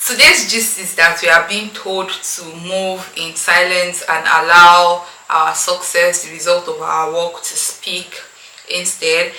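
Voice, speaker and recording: A woman's voice: lowish voice, fairly even intonation, takes it slowly, pushed and bright. A woman with a Nigerian accent talking casually and emphatically to her viewers as an opinionated YouTube host. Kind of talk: casual opinionated talk to camera. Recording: echoey room, faint background noise.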